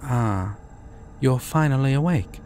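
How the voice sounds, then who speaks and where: A man playing a courteous, formal deity of dreams in an audio roleplay, speaking to the listener with measured, theatrical pauses. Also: mid-range voice, animated, darkish tone, a little hiss or hum. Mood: composed, grand. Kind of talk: immersive roleplay addressed to the listener.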